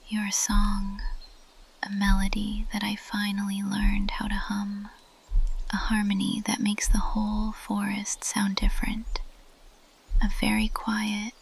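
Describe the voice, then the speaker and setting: Woman as a breathy, whispering possessive plant monster girl. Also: mid-range voice, full character voice, rough recording. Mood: tender, adoring. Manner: slow, intimate, possessive murmuring. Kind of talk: whispered ASMR romantic roleplay. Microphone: close ASMR microphone.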